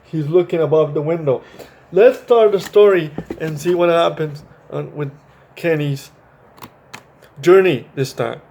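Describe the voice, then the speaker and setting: A man hosting a children's story read-aloud, chatting to young viewers in a halting way and often correcting himself. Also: on the high side, mellow, slight background noise. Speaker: storytime video host reading a children's book.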